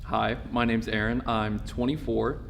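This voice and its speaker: A man in his twenties delivers a slow, deadpan introduction to camera, half joking. His voice is mid-range and plain. A faint hiss sits under it. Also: mid-range voice, vocal fry, long reverb tail. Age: 20s. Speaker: mock dating show applicant. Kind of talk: audition tape.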